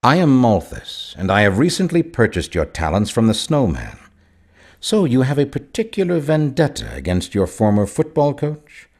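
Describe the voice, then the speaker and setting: A man's voice: medium pitch, very animated, moderate pace, a little mellow. An actor playing a grand, sinister mastermind in a video game, a man with a formal, theatrical, coldly courteous manner. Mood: imperious. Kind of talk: video game character dialogue.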